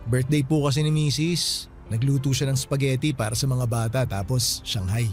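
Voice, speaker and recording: An actor playing a polite, gentle working family man in respectful, conversational radio drama dialogue. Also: mid-range voice, fairly even intonation, moderate pace, soft background music, natural voice. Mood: friendly.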